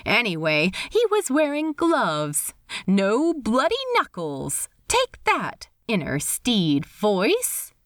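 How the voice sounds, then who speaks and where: A woman reads fiction aloud in an expressive storytelling style. Her pitch moves widely and animatedly while her pace stays slow. The sound is dry, with little room around it. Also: no echo. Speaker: fan fiction reader. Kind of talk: expressive scripted audiobook narration.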